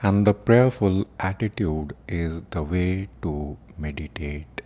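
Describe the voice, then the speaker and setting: A man with a very low voice guides a meditation at an extremely slow pace. He is solemn and deliberate, leaving long pauses between short, prayerful phrases. A faint rumbling noise sits behind the voice. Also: dark tone. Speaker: meditation teacher and podcast host.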